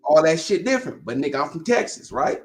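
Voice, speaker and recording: A man from Long Beach, California, calling into a live boxing debate and arguing his point insistently in quick bursts. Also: on the high side, very animated, soft background music. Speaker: guest caller. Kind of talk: heated live-stream debate.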